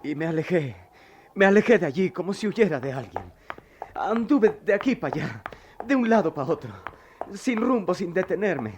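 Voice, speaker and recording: A man's voice: sits high, big pitch swings, moderate pace, background noise. A man acting a remorseful young student and devoted son in a radio drama, heartfelt and dramatic. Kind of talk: radio drama acting and first-person narration.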